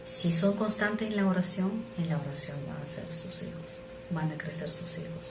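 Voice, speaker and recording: Woman preacher, non-native speaker, middle-aged, low voice, earnest and measured, echoey noisy room. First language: not Spanish. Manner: earnest, measured, drops to soft asides. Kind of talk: sermon.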